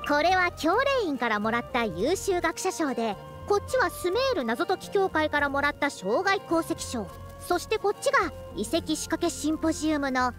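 A woman's voice: sits high, big pitch swings, moderate pace, fairly bright tone, soft background music. A woman voicing a proud, bossy game character, a youthful-looking century-old scholar with girlish tones and old-fashioned elder speech. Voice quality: girlish voice with elderly turns of phrase. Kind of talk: Japanese anime-style game voice acting.

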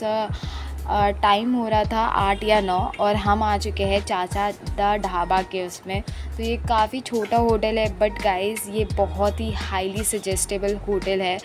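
A young woman with an Indian accent gives a casual vlog voice-over. She keeps dropping English phrases into her talk as she guides the viewer along. Her pitch sits in an ordinary female range, and loud music plays behind her. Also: flat delivery, measured pace. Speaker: travel and food vlogger.

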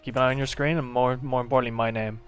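A man's voice: medium pitch, fairly even intonation, very fast, clean tone, soft background music. A young man with a light Irish accent, streaming a team shooter game and bantering cockily with his teammates. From Ireland. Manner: cocky, swearing, shouts in fights. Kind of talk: casual gaming banter.

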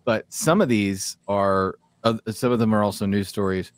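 Low-voiced American man, loose podcast chat. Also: steady tone, normal speed, dry recording. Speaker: podcast host. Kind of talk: casual chat. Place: small room at home, on a video call.

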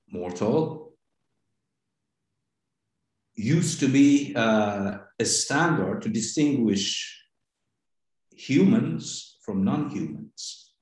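A man with a Persian accent, a native Farsi speaker, giving a philosophy seminar lecture in a deliberate, halting way with frequent pauses. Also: mid-range voice. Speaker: seminar instructor. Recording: very echoey room.

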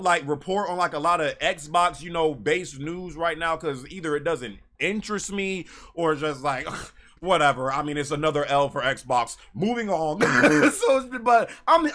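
A man's voice: high-pitched, a bit bright. An American man in his thirties ranting casually to camera as a gaming YouTuber, emphatic and given to repeating phrases. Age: 30s. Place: small bedroom, indoors.

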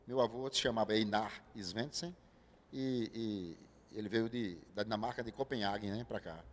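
Older man, halting, reverberant studio. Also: on the low side, slight background noise. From Brazil, João Pessoa. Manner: easygoing, speaks in halting bursts with fillers. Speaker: guest visual artist. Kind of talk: studio TV interview. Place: TV studio set. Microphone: handheld mic.

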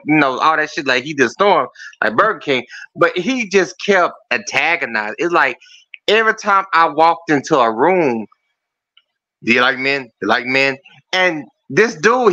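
A man's voice: on the high side, sharp tone. A man calling in to a chat show, with a feminine-sounding voice, talking bluntly and rambling with plenty of swearing. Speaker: caller. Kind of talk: casual call-in chat. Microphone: video call. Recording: muffled call audio.

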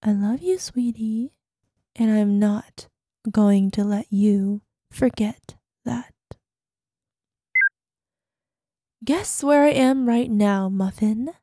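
A woman's voice: medium pitch, takes it slowly, dark tone, no echo, studio quality. A woman playing an obsessive, possessive girlfriend in an ASMR roleplay voicemail, sweetly menacing, dropping into whispers. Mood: possessive, threatening. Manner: breathy asides, drops into whispers, syrupy pet names.

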